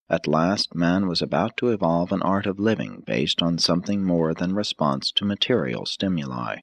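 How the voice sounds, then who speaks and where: A man narrating an audiobook from a script in a formal, measured manner. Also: deep voice, husky, no room sound.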